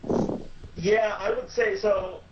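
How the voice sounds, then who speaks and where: A man chatting as a podcast guest, talking haltingly with many fillers and restarts. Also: sits high, fairly even intonation. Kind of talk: casual podcast chat. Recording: roomy, dull lo-fi sound with faint noise.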